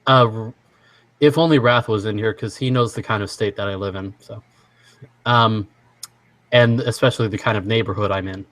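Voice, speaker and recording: A young man from Washington state hosts a casual stream chat, reading quiz lines and laughing along with his guests. His voice sits a little low. It is recorded close on a desk mic, dry with almost no room. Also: a bit bright, dry recording, poor audio. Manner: easygoing, laughs often, halting restarts. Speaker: stream host.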